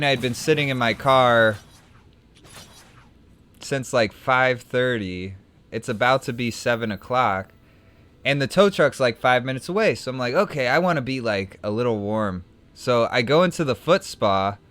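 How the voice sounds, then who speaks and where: A young man talks loosely over his card game, drifting into a long story and restarting his sentences as he goes. His voice is smooth and clear, sitting at an ordinary middle pitch. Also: fairly bright tone. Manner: rambling, self-correcting storyteller. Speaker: streamer. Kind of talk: casual gameplay chat and anecdote.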